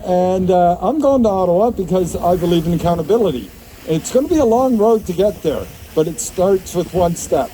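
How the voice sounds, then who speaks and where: Very high, mellow-voiced man at a rally. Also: lively intonation, normal speed, slight background noise, rough recording. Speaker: open-mic speaker. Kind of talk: brief informal rally speech.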